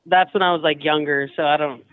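Tinny phone-line young man, higher-pitched. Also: fairly even intonation, brisk, clean tone, no echo. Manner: hesitant, filler-heavy, informal. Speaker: hotel employee taking a call. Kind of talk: casual phone conversation. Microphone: telephone.

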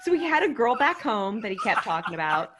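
A woman's voice: fairly high pitch, fairly quick, noisy background. A woman telling a comedic story to a podcast panel, rambling and emphatic. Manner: animated, rambling, emphatic with "freaking". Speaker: guest storyteller.

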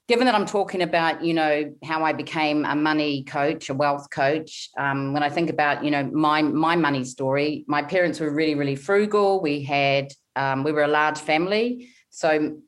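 A woman in her forties from New Zealand with a softened Kiwi accent, telling her story candidly in a podcast interview. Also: low-pitched, moderate pace. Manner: candid storytelling, frequent fillers and restarts. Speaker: guest, money coach.

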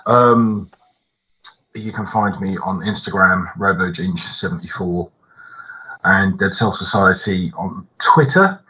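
British man, late forties, lowish forceful voice, podcast co-host, casual chat, dull microphone. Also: slow. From United Kingdom. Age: late 40s. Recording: dull, muffled lo-fi mic.

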